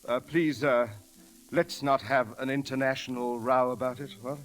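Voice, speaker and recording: An actor playing a timid, deferential English manservant in an old radio comedy, speaking formally and hesitantly with an English accent. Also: male voice, medium pitch, steady tone, moderate pace, soft background music, lightly acted. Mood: flustered, polite. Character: proper, timid, deferential English manservant, middle-aged gentleman. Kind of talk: 1930s-40s radio comedy acting. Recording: vintage radio recording with sizzling crackle.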